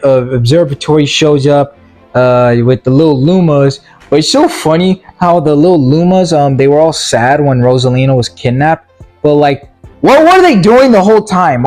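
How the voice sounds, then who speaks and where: A man giving a casual, rambling film review in slangy, informal language, halting and repeating words, with sarcastic asides. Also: fairly high pitch, a little mellow. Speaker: movie reviewer.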